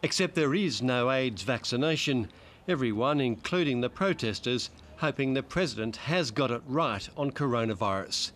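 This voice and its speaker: A man's voice: mid-range voice, animated, moderate pace, fairly bright tone. An Australian man narrating a scripted TV news report as a foreign correspondent.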